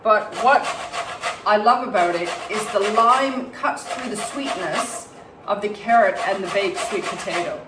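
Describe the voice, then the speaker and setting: A woman with a slight cold and a hoarse voice gives a calm cooking demonstration in her home kitchen, explaining each step and why it is healthy. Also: medium pitch, unhurried. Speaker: cooking presenter. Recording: roomy, distant mic, faint background hum.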